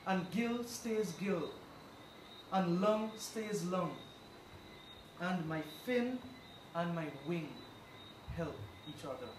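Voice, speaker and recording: A man reciting a poem in a deliberate, declamatory way. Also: high voice, lively intonation. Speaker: poetry reader, linguistics lecturer. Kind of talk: poetry recital. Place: indoor recital event room. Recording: distant mic, echoey room, loud noise.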